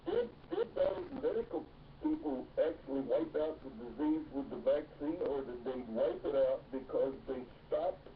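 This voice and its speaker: A man calling into a talk radio show as a guest, speaking in a preachy, earnest way with long winding sentences. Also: on the high side. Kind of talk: talk radio phone-in discussion. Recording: tinny distant phone line with faint hiss.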